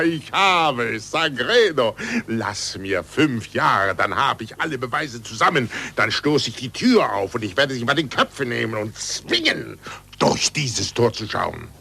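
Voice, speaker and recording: An actor playing an impassioned, urgent astronomer in a 1940s radio play, speaking emphatically. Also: male voice, mid-range voice, full character voice. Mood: fervent, defiant. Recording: husky-edged, bright 1940s radio recording.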